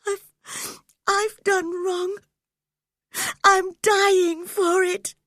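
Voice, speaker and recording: A woman's voice: a little high, takes it slowly, darkish tone, breathy edge, no room sound, studio quality, exaggerated voice. An actress voicing a passionate, dying young woman who pleads through tears in an audiobook drama. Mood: sobbing. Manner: sobbing, pleading. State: crying.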